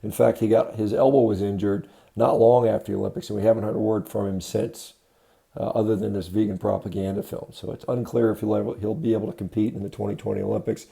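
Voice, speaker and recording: A man giving unscripted critical commentary as a host, matter-of-fact and halting, often correcting himself. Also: lowish voice, little pitch movement, vocal fry, warm tone. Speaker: host of a diet commentary video.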